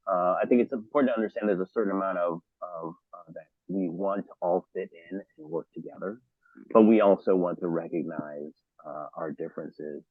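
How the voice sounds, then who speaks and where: A man from the Los Angeles area speaking on a panel, halting with many fillers and restarts. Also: sits low, dry recording. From Los Angeles area, United States. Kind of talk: panel discussion. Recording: thin phone-like audio.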